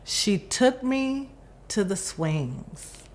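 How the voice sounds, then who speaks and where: A woman reading a children's picture book aloud, expressively and with deliberate pauses between phrases. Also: mid-range voice, sing-song. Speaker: volunteer storybook reader.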